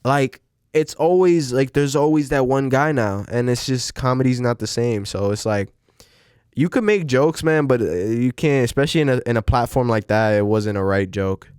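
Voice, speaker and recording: A man's voice: medium pitch, moderate pace, darkish tone, smooth, dry recording, studio quality. Young man chatting in casual slang. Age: young adult. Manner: rambling, restarts with "like" and "you know". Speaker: podcast host. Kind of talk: casual chat.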